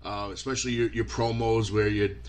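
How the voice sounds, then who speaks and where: A man's voice: lowish voice, little pitch movement, fairly quick. A man hosting a podcast interview, asking eager questions with frequent stammers. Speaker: podcast host. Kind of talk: casual interview.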